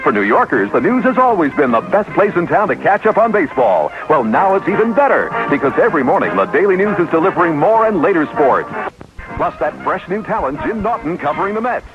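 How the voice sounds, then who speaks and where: Animated high male radio ad announcer. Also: a little fast. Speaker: commercial announcer. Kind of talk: 1980s radio advertisement read. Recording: dull old AM radio recording. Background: loud music.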